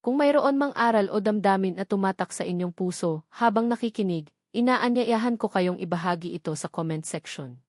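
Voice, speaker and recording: A woman storyteller narrates a sentimental love story with earnest feeling. Her voice sits at an average female pitch, recorded dry and close with no room sound. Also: brisk, a little mellow, dry recording. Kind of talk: scripted dramatic narration of a romance story.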